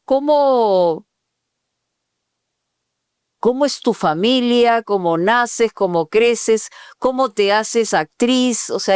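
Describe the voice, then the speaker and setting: Smooth-voiced woman hosting a podcast. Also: medium pitch, dry recording, studio quality. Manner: warm, probing questions with frequent affirmations. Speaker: podcast co-host. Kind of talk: interviewing a guest. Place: podcast studio.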